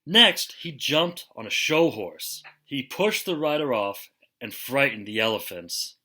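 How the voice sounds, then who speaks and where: Male storybook reader for young children, unhurried and forceful, with expressive, dramatic delivery. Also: mid-range voice. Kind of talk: expressive children's picture book read-aloud.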